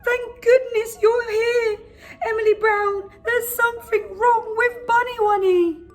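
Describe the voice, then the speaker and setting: A woman's voice: very high voice, steady tone, slow pace, faint music behind, pitched above the performer's own voice. A woman voicing a spoiled, silly, weepy queen in a children's story, wailing and pleading melodramatically. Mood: fretful, relieved.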